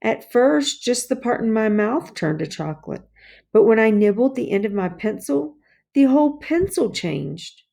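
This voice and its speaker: An earnest young schoolboy, acted out by a woman reading a storybook. The voice is raised high and pleads its case insistently. He sounds aggrieved and wants very much to be believed. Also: big pitch swings, moderate pace, gravelly, mellow, heavily acted. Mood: sorry, protesting. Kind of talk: children's storybook character voice.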